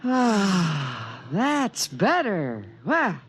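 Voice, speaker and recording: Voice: mid-range voice, huge pitch swings, drawn-out words, slightly rough, heavily acted. An actor playing an eager, fussy, invisible alien in a radio drama, with precise, formal diction. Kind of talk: 1950s radio drama acting. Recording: vintage 1950s radio recording, faint noise.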